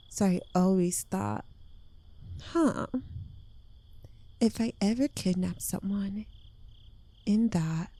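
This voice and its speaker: Woman acting a slow, creaky-voiced possessive witch girlfriend. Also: medium pitch, dark tone. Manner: coy, teasing, lingering delivery dropping into breathy whispers. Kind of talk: intimate ASMR roleplay, addressed to the listener.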